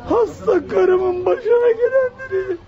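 A male actor playing a despairing, robbed old pensioner in a radio play, with a tearful, halting, strained way of lamenting. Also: very high voice, over music. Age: older. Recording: muffled lo-fi radio drama recording.

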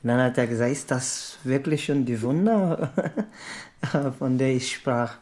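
Man, slow hesitant retelling, lots of pauses and fillers, mid-pitched voice. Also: darkish tone. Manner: hesitant, many fillers and restarts in non-native German. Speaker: participant retelling a story. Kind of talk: casual spoken retelling.

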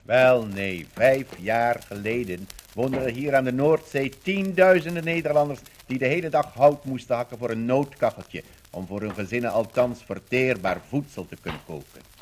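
A man's voice: mid-range voice, moderate pace, a little hiss or hum. A man narrating a scripted 1950s radio documentary in a declamatory manner.

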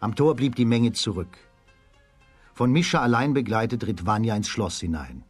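A man giving measured, scripted storytelling narration for a children's radio drama. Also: medium pitch, lively intonation, husky, faint music behind.

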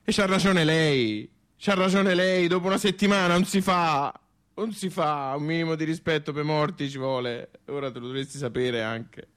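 A man's voice: on the high side, normal speed, a bit bright, smooth, close to an everyday voice. An actor playing a hapless, fussy man in a radio play, with a Tuscan accent and a hesitant, repetitive, plaintive delivery. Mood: weary reproach. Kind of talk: naturalistic radio theatre acting.

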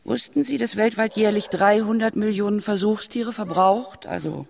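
An actress playing a passionate radical animal-rights activist of about sixty in a radio drama, gravelly and emphatic. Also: female voice, mid-range voice, normal speed, darkish tone. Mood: indignant, preaching. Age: 60s. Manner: emphatic, indignant.

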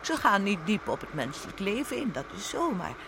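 A woman's voice: mid-range voice, sing-song, fairly quick, vocal fry. A woman playing a courteous, slightly hesitant, bookish former teacher of nearly fifty in a radio play. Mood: hesitant, defensive. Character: polite, bookish retired schoolmistress nearing fifty. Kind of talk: radio drama acting. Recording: noisy old radio recording.

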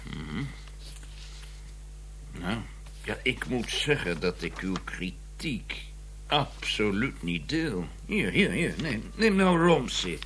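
A man's voice: lowish voice, sing-song, slow pace, a bit bright, exaggerated voice. An actor playing a courteous, earnest academic in a radio drama, hesitant and emphatic, repeating his words when flustered. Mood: puzzled, defensive. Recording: clearly noisy, humming old recording.

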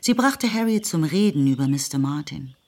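A woman's voice: low-pitched, expressive, normal speed, a little mellow. A woman giving scripted literary narration for a radio play. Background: music.

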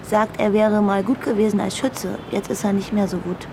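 A woman's voice: mid-range voice, fairly even intonation, normal speed, noisy background, close to an everyday voice. An actress in a radio drama playing an insecure, lonely woman who confides her thoughts. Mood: confiding, pensive. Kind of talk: radio drama, intimate inner monologue and dialogue.

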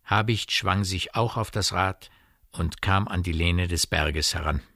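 An actor as a measured narrator, delivering scripted literary narration in a radio drama. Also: male voice, sits low, pushed and bright, dry recording, studio quality, heavily acted.

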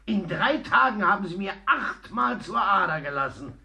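An actor playing an eccentric, talkative servant in a German radio play, effusive and deferential in a theatrical way. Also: male voice, high voice, big pitch swings, moderate pace, gravelly, pushed and bright, exaggerated voice. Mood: effusive, dramatic.

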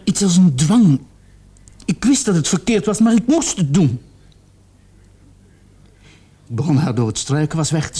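Distraught young man, husky and high. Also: mellow. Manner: halting, repeating words as he confesses. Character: distraught young man confessing to a panicked killing. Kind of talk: radio play acting.

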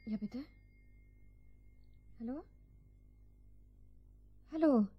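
An actress playing a nervous housewife under threat in a radio crime play, hesitant and protesting. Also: female voice, fairly high pitch, extremely slow, husky, dull audio, heavily acted. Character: nervous captive housewife forced to answer the telephone. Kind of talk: radio crime drama acting.

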